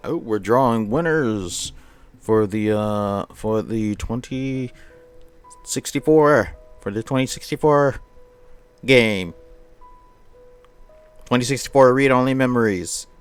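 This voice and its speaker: A man hosting a live game stream, chatting with viewers and reading visual novel narration aloud in an animated, chatty way. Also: mid-range voice, slow, long pauses, clear, resonant tone, faint music behind.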